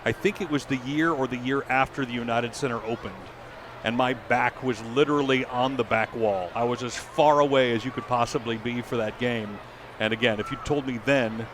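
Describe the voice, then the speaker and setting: An American man, a sports announcer, talking casually as an interview guest. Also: medium pitch. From United States, from Michigan and Illinois. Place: TV broadcast booth at an outdoor ballpark. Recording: noisy outdoor ballpark booth. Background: clear ambient stadium noise.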